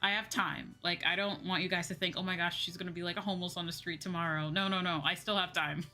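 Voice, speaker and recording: A woman's voice: fairly low pitch, fairly even intonation, fast talker, pushed and bright. A woman with an American accent chatting casually on a livestream, informally answering viewer comments and repeating phrases for emphasis. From Midwestern United States. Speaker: VTuber livestreamer. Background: music.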